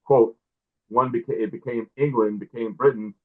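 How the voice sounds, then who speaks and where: A man's voice: medium pitch, normal speed. Man on a tinny phone-like line. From United States. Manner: frequent agreeing backchannels, animated anecdotes. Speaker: podcast host. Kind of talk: podcast interview.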